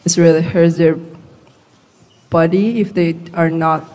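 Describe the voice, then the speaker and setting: Slow, hesitant young Chinese woman. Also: fairly low pitch, steady tone, dark tone. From China. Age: 20s. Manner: hesitant, many fillers. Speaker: student. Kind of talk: class discussion answers. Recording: echoey lecture hall.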